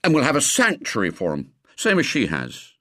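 A man's voice: medium pitch, wildly swooping pitch, moderate pace, a bit bright, dry recording, studio quality, voice pushed up. A man voicing a headstrong, indignant English schoolboy in a storybook, with an emphatic delivery. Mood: determined.

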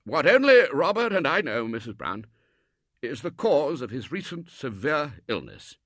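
Brief: man playing a loud, effusive old Colonel, lively swooping voice, high and bright. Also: moderate pace, dry recording, clean studio sound. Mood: gushing. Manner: booming, gushing, stammering at times. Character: blustering elderly male Colonel, loud and effusive. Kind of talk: storybook reading.

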